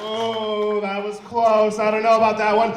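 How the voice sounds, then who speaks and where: Emcee, male, high lively voice, hype announcer over a loud crowd. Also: moderate pace, very noisy. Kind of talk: announcing comics and crowd votes. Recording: roomy hall, distant mic, loud crowd.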